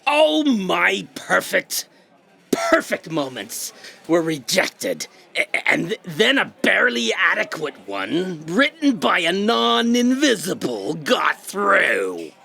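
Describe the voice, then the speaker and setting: A man playing a bitter, grandiose invisible writer villain in an audio drama, melodramatic and raspy. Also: high-pitched, very animated, slow pace, sharp tone, full character voice. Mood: wounded, bitter.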